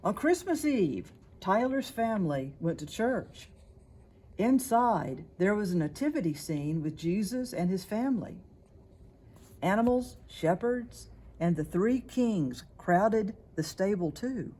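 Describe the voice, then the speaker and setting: A woman narrates a children's story with expressive delivery. Her pitch moves around freely, her pace is unhurried, and her voice has a husky grain. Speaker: storybook reader.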